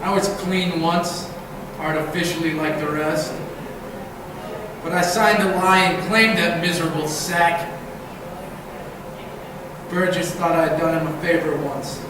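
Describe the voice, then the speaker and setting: A dying old husband acted by a man confesses with heavy guilt in an amateur stage reading. The voice is a little high for a man, caught from far off in a very echoey, noisy room. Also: fairly even intonation, a little slow, long pauses, close to an everyday voice. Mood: remorseful. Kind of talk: amateur readers' theatre performance.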